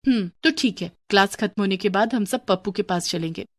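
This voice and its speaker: A woman voicing an indignant, mocking young schoolgirl in a cartoon story, with an animated, complaining manner. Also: medium pitch, fairly quick, lightly acted. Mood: scheming. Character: indignant, mocking young schoolgirls plotting against their teacher. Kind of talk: cartoon moral story dialogue.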